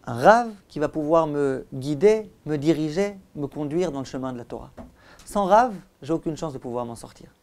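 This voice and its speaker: A man's voice: on the high side, expressive, normal speed, a little mellow. Man teaching, emphatic rabbi lecture style. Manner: didactic, rhetorical questions and repetition for emphasis. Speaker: rabbi giving a religious class. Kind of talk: Torah lecture.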